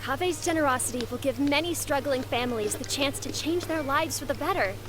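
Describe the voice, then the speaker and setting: A woman's voice: high voice, fairly quick, heavy background noise, lightly acted. An actress playing a gracious female ceremony announcer in a video game, formal, polite and poised. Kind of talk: scripted video game voice acting.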